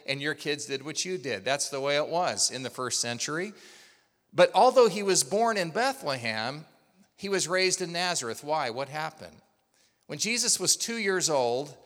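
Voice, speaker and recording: A man's voice: fairly high pitch, bright, forceful tone. A man from Oklahoma preaching a sermon as pastor, telling a story and asking the congregation questions. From Oklahoma, USA. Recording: long hall reverb.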